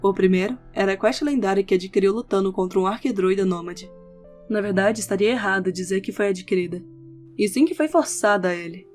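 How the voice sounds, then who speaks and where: Female narrator, scripted audio novel, mid-range pitch, guitar music bed behind. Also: a little fast, darkish tone. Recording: background music under the voice. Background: instrumental music with guitar.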